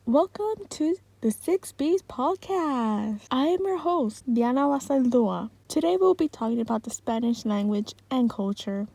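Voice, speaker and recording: A woman's voice: high voice, lively intonation, a little slow, vocal fry, hardly any pauses, mellow. A woman hosting a casual podcast, upbeat and asking her guests questions. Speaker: podcast host.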